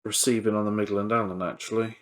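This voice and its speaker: Low-pitched man talking through a repair. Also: level tone, fast. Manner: hesitant, thinking aloud. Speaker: electronics repairer presenting a video. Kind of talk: casual hands-on repair commentary.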